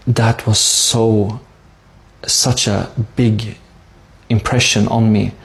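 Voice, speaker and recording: A man with a Swedish accent shares a personal story. His voice sits fairly low, with a heavy creak in it. He stays measured and earnest throughout, keeping the melody very level. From Sweden. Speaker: speaker sharing a personal conversion story.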